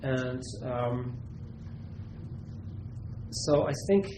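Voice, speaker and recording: A man's voice: mid-range voice, very slow. Brit guy at a translation seminar, mid voice, lots of ums and restarts, roomy room, noisy recording. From Britain. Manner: thoughtful, hesitant, many restarts and fillers. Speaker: lecturer and literary translator. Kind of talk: seminar question and answer.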